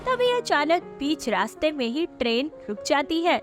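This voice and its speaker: A woman narrates a scripted moral story. Her voice is high-pitched, and music is clearly heard behind her. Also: very animated, normal speed.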